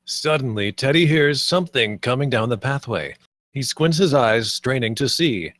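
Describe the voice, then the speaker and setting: A man's voice: mid-range voice, animated, moderate pace, a bit bright, no room sound. A man narrating the stage directions and scene headings of a screenplay at a table read.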